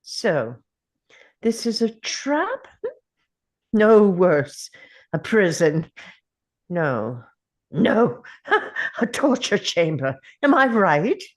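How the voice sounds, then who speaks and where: A woman playing an ancient, defiant, sardonic male time traveller in a dramatic script table read, speaking theatrically with deliberate pauses. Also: medium pitch, big pitch swings, exaggerated voice. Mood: probing, defiant.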